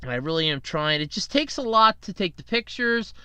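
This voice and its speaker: A man's voice: sits high, big pitch swings, brisk, pushed and bright, clear, resonant tone, no echo. A young man hosting a casual solo podcast, rambling and jokey while fighting a cold and tired from night shifts. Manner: rambling, chatty, self-deprecating, jokey. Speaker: podcast host.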